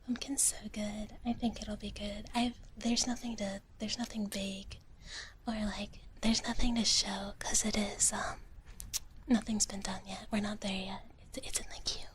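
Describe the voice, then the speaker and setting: A woman livestreaming ASMR, chatting casually in a gentle, slow whisper and often repeating short phrases, close to the mic. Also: mid-range voice, a little hiss or hum.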